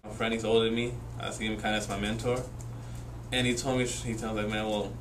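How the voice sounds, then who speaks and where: An 18-year-old man tells his story casually, full of "you know" and "like." His voice is lowish and flat. It is picked up from some distance, with a faint hiss behind it. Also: fast. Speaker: young father telling his story. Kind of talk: candid personal testimony. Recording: distant mic with faint noise.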